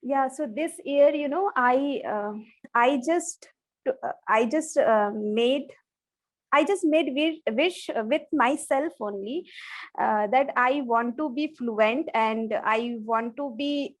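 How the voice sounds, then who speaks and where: A woman with a high voice talks over a video call. She is a Bangla speaker practicing her English, and she halts and restarts often as she hunts for words. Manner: hesitant, many restarts and fillers. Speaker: English learner. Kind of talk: online English practice conversation.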